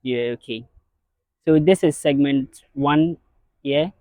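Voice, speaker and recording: A man's voice: medium pitch, slow, mellow, no room sound, clean studio sound. Chatty young male podcast host. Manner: friendly, many fillers like yeah and okay. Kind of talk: casual interview.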